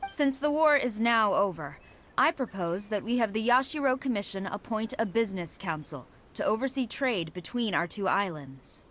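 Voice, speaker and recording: A woman's voice: medium pitch, animated, moderate pace, a bit bright, a little hiss or hum, close to an everyday voice. A woman voicing a stern female military commander negotiating a treaty in a video game, formal and uncompromising.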